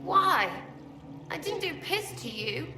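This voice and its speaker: A woman playing a scrappy, rough-spoken young archer in a game, distraught, blunt and halting. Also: very high voice, very animated, exaggerated voice. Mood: defensive, upset. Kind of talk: dramatic game voice acting. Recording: echoey room.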